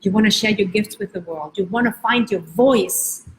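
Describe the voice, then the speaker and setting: A woman from Spain, raised in Germany, giving an earnest, encouraging motivational talk as a life coach, slowing down to stretch key words. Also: medium pitch, slight background noise, poor audio. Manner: earnest, encouraging, uneven pace with drawn-out words. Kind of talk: live-streamed motivational talk.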